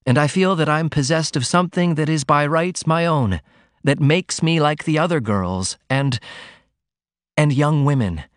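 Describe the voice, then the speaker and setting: A man's voice: a little high, unhurried, dry recording, clean studio sound, pitched above the performer's own voice, lightly acted. Ruth, an earnest, frank young woman, voiced by a man. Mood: earnest confiding. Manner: confiding, emotional, earnest. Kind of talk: audiobook character reading.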